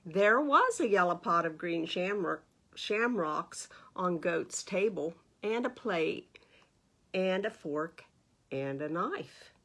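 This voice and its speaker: Slow, expressive woman reading a picture book. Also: on the low side. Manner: expressive, questioning, explains pictures to young children. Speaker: library storytime host. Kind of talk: children's picture-book read-aloud with asides.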